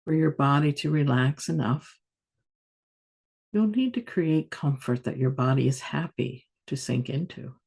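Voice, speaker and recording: Soft husky lady doing guided yoga nidra, really mellow n soothing, slow with long pauses. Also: low-pitched. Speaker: meditation teacher.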